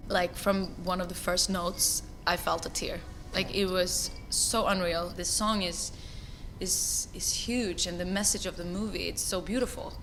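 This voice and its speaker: Earnest woman speaking, low rumble behind. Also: steady tone, a little slow, fairly bright tone. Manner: earnest, emotional. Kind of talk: interview clip.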